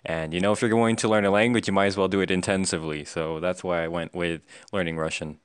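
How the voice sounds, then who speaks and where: A man's voice: low voice, steady tone, fast, smooth, dry recording, clean studio sound. A young adult American man talking casually and reflectively in a conversational interview. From United States. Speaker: language learner being interviewed.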